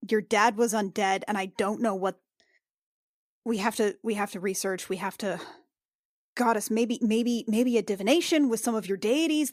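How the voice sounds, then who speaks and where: A woman playing a tabletop fantasy game, improvising in character in a halting way and often repeating herself. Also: mid-range voice, no room sound, clean studio sound.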